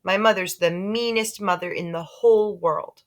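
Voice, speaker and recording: A woman's voice: medium pitch, moderate pace, natural voice. A woman voicing an aggrieved, bossy grade-school boy with a blunt, complaining manner, in a storybook read aloud. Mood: sulky, hurt.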